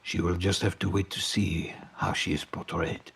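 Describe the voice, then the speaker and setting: Audio drama villain played by a man: jealous, vain producer turned convict, pompous and self-justifying, low husky delivery. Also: flat delivery, normal speed, fairly bright tone, heavily acted. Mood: proud, defiant.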